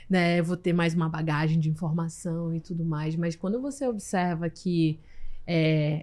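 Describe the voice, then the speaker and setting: A woman's voice: on the low side, warm tone. A woman hosting an interview, a mother, speaking emphatically and often seeking agreement. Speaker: interviewer, host. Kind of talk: casual interview talk.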